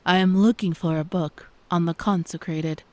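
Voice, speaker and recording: An actress playing a mysterious, formal and composed stranger in a fantasy audio drama. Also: female voice, lowish voice, steady tone, normal speed, a little mellow, no echo, natural voice. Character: mysterious, composed woman stranger seeking lore.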